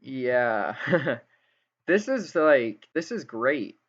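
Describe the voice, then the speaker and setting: A man's voice: medium pitch, very animated, drawn-out words, fairly bright tone. A man hosting a casual gaming interview, speaking in a hesitant, rambling way. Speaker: interviewer, gaming channel host. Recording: dull lo-fi voice chat.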